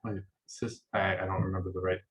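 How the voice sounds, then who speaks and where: A man's voice: low-pitched, flat delivery, brisk, fairly bright tone, slightly rough. A man in his late thirties casually introducing himself to a round table, hesitant and unsure of his wording. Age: 30s. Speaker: panelist.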